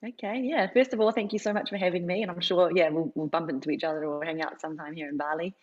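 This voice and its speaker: Chatty low-voiced New woman. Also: fairly even intonation, quick pace, lo-fi sound. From New Zealand. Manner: chatty, filler-heavy, lots of "like". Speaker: guest. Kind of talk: casual interview storytelling.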